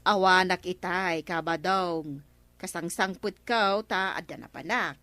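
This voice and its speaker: A woman's voice: fairly low pitch, a little slow, sharp tone. A woman with an Ilocano accent playing a matter-of-fact village councilwoman in a comedy radio drama. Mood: casual.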